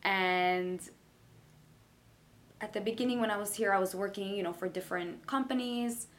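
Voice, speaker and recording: A woman, a realtor talking in a relaxed, chatty, easygoing way. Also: medium pitch, normal speed, slight background noise. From Brooklyn, New York. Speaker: realtor guest. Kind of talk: casual interview chat.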